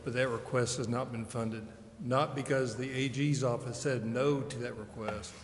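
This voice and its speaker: A man answers questions in an official setting, polite and matter-of-fact. His delivery is very even in pitch, and the room throws back a strong echo. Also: normal speed. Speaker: police chief. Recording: echoey council chamber, faint noise.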